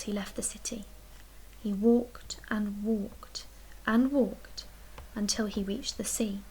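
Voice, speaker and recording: A woman reading a children's picture book aloud as a storyteller, expressively, with dramatic emphasis and hushed, breathy dips. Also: a little high, rough recording. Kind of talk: expressive read-aloud bedtime story narration.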